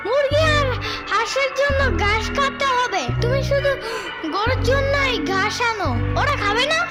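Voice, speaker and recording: A performer voicing a lively, cheeky, insistent young child in a funny cartoon, chattering playfully. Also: very high voice, normal speed. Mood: cheeky, eager. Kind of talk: cartoon voice acting. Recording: loud music over the voice.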